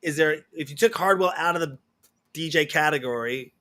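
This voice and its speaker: Male host with a bright, slightly high voice, reads viewer questions in a casual chat. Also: normal speed. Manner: friendly, reads viewer questions, loose rephrasing. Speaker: interview host.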